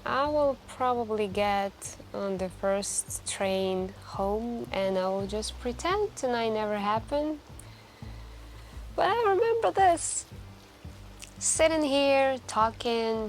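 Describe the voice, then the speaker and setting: A woman acting as a wistful young woman in an intimate ASMR café roleplay. She speaks softly and slowly at a medium pitch, musing and confiding with hesitant pauses. Quiet music plays behind her voice. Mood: reflective. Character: wistful, soft-spoken young woman stranded at a late-night café. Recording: continuous quiet background music. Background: quiet background music.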